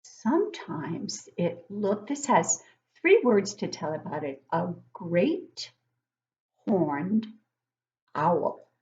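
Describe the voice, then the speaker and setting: An older woman reads a picture book aloud to young children like a teacher, expressively, pausing to ask them questions. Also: lowish voice, sing-song, darkish tone, muffled recording. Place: living room at home, indoors.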